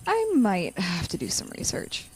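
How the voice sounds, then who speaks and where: A woman's voice: mid-range voice, huge pitch swings, unhurried, very noisy. A young woman playing an anxious, sardonic college-student supervillain in a naturalistic audio drama. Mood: hesitant. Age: young adult.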